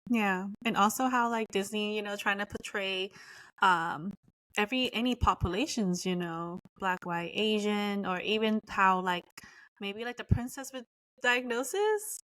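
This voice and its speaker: A woman from Thailand, chatting as a podcast guest in a halting way, often saying "like". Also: medium pitch, lively intonation, fairly bright tone, no room sound, poor audio. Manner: halting, frequent "like". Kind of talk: casual podcast chat.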